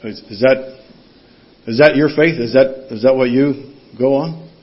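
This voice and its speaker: A man's voice: mid-range voice, a little slow. A man preaching a sermon, reading scripture aloud and putting questions to the congregation in an earnest teaching manner. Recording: dull lo-fi sound.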